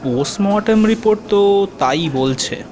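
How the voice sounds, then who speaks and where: Man acting a high-pitched confident police officer. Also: animated, crowd noise. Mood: serious, explanatory. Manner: measured, explanatory, mixes in English terms. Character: male police officer, confident, explanatory, helpful friend of detective. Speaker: voice actor. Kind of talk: audio drama acting in Bengali detective story.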